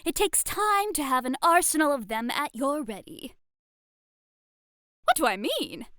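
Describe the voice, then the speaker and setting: A woman's voice: high-pitched, big pitch swings, measured pace, fairly bright tone, no room sound, clean studio sound, exaggerated voice. A woman playing a dramatic, coquettish society lady who teaches wooing in a scripted ASMR roleplay, with prim, theatrical phrasing. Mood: playful instructing. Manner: prim, theatrical, swooning flourishes.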